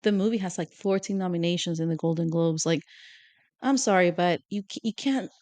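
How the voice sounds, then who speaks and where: A woman's voice: fairly low pitch, a little fast, mellow, no room sound. A woman co-hosting a podcast in casual, opinionated chat, often asking "you know?". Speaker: podcast co-host.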